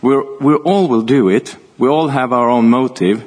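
A man with a husky, slightly breathy voice at an ordinary middle pitch. He talks loosely and casually, restarting his sentences and laughing as he goes. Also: darkish tone. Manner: chatty, halting, laughs often. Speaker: author. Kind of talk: panel conversation.

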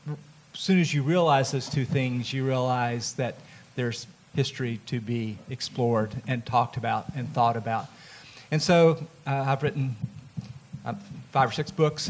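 Southern American man speaking on a panel. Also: mid-range voice. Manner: earnest, explanatory. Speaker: author and panelist. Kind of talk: panel talk. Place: festival event room.

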